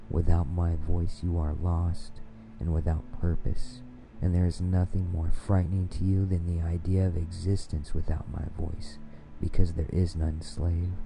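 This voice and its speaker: A man delivering a scripted domination hypnosis monologue in a commanding, domineering, deliberately repetitive manner. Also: low-pitched, gravelly, poor audio.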